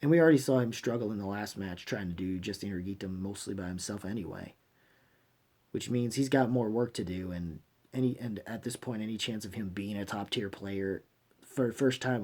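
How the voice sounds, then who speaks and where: A man hosting a fan review channel, giving unscripted, opinionated commentary in a halting, emphatic, blunt way, often rewording his phrases. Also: fairly low pitch, a little mellow, slightly rough. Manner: blunt, swears freely.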